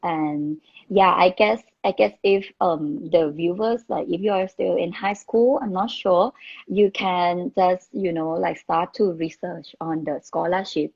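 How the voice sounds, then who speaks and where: Young woman guest, relaxed chatty delivery, lowish voice, lo-fi call audio. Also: unhurried, gravelly, husky. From Thailand. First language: Thai. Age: young adult. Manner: chatty, relaxed, many fillers. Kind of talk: casual chat.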